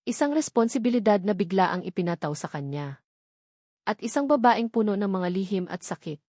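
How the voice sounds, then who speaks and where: A woman's voice: medium pitch, darkish tone, no echo, clean studio sound. A woman with a Filipino accent reading a scripted romantic drama story as narrator.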